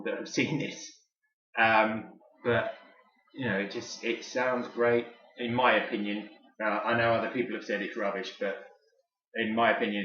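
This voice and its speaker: A man's voice: on the low side, fairly bright tone. A British man giving a casual, unscripted product review as host, chatty and informal like an enthusiastic fan. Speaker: toy reviewer and video host. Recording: echoey room, slightly dull sound.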